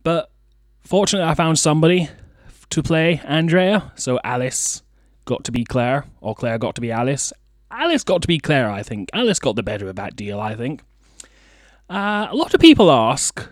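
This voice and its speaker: A man's voice: a little high, dry recording. A man hosting a podcast in a studio, chatting informally and reflectively with a self-deprecating manner, close on the mic. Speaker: podcast host. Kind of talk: casual reflective podcast chat.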